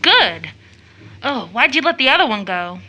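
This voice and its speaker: A woman's voice: on the high side, big pitch swings, normal speed, sharp tone, heavily acted. An actress playing an impulsive, affectionate teenage daughter in an audio drama of an early 1900s play, with an American accent and a gushing, girlish manner. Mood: indignant. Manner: gushing, exclamatory, emotional.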